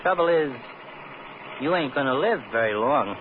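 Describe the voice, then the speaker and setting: A man's voice: fairly high pitch, lively intonation, normal speed, lightly acted. An actor playing a genial, dim-witted New hired gunman in a 1950s radio drama, slangy, apologetic and matter-of-fact. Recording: tinny, noisy old radio recording.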